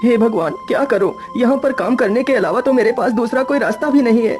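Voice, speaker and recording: A woman's voice: fairly high pitch, a little fast, music in the background, close to an everyday voice. A woman voicing an anxious, hardworking young male farmer in a cartoon, earnest and pleading.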